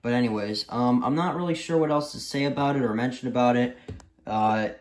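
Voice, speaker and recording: An echoey home recording of a young man with an American accent and a mid-pitched voice. He talks casually and without a script, hesitating often and circling back over his own words as he films on a handheld phone. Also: a little fast. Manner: hesitant, rambling, repeats himself. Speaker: hobbyist video maker. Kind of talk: casual unscripted show-and-tell. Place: small indoor room at home. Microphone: phone camera held by speaker. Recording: echoey room, handheld camera.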